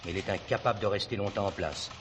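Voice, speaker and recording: An actor playing an authoritative, composed gendarmerie captain in a television drama, with a clipped, formal, official manner. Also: male voice, on the low side, fast talker, natural voice. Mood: matter-of-fact. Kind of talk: 1970s French television drama acting. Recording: noisy old drama recording.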